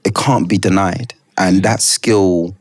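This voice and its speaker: A man's voice: deep voice, fairly even intonation, takes it slowly, no room sound. A man from Britain hosting an interview, talking in an enthusiastic, rambling way with lots of fillers. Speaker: podcast host. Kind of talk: casual interview chat.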